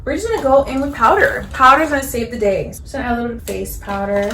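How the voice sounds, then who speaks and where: Thirties woman doing a makeup vlog, kinda high voice, rambly and jokey, faint hum behind. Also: quick pace. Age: 30s. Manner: chatty and animated, filler-heavy, jokey asides. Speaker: beauty vlogger. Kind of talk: casual makeup chat to camera.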